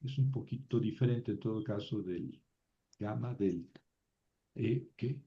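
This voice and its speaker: A man teaching a class, slowly reciting word forms and thinking aloud as he explains. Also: lowish voice, dark tone. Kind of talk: slow classroom lesson on grammar. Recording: dull lo-fi recording.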